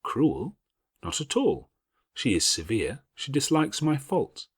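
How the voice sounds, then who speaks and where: A man voicing a patient, devout, bookish older schoolgirl in an audiobook, speaking in a measured, earnest, moralising way. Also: mid-range voice, sing-song, vocal fry, husky, dry recording, studio quality, pitched above the performer's own voice, heavily acted. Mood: calm, earnest. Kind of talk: audiobook character voice.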